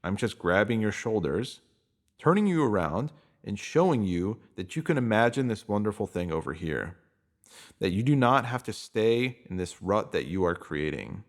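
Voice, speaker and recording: A man's voice: on the low side, very animated, normal speed. A man reading a scripted self-help piece, addressing the listener directly. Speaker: reader of written posts.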